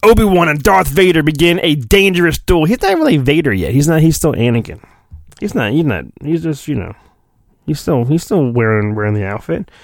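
A man's voice: on the high side, big pitch swings, brisk, dry recording, clean studio sound. A man hosting a podcast, giving a hammy, irreverent storybook reading with joking asides. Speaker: podcast host.

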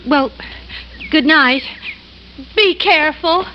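A woman's voice: high-pitched, slow pace, slight background noise. An actress playing a timid, panicky woman in an old-time radio suspense drama, anxious, stammering and pleading. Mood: worried. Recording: dull old radio recording, crowd behind.

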